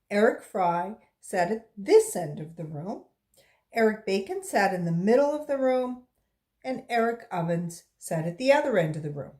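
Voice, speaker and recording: Female volunteer storybook reader, higher-pitched and slow-paced, expressive and deliberate delivery for young listeners. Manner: deliberate, expressive phrasing for children. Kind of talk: read-aloud storybook narration with brief asides.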